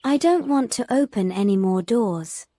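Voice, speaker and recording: A woman's voice: fairly high pitch, animated, a little slow, mellow, dry recording. A woman playing a timid, nervous young mermaid in a fantasy audio drama, anxious, wary and pleading. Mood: reluctant.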